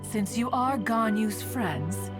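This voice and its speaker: A woman's voice: fairly high pitch, expressive, slow pace. A woman voicing a proud ancient immortal in a video game, formal and archaic, aloof yet fond. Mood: stately, amused. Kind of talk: video game character acting. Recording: loud music over the voice.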